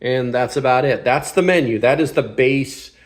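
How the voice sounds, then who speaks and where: Male product reviewer, mid-pitched voice, informal and opinionated, relaxed hands-on delivery for an online channel. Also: normal speed, a little hiss or hum. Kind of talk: casual hands-on gear review.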